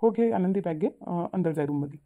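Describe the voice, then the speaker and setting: A woman's voice: on the low side, fast, natural voice. Woman acting a tinny-sounding, apologetic young son. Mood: concerned, soothing. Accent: Vidarbha Marathi. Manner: pleading, explaining himself. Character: apologetic young newlywed son, placating and defensive. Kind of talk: cartoon family drama. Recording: tinny phone-like narrow audio.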